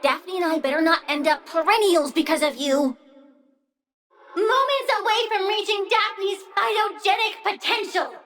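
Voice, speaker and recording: A bratty little plant girl villain for a game, super high n bright, petulant but gleefully mean. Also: normal speed, far from the mic. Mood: sulky, bossy. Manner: childlike, petulant, sing-song relish. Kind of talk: video game character voice lines.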